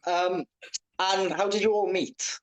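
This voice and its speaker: A man's voice: high-pitched, fairly even intonation, slow, rough recording. A man hosting a casual band interview as the interviewer.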